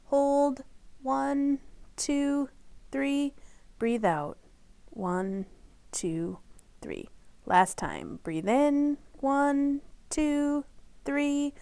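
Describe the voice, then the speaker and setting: A woman reading aloud to children in a careful, deliberate storytelling manner. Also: high-pitched, very animated, creaky, darkish tone. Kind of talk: children's read-aloud narration.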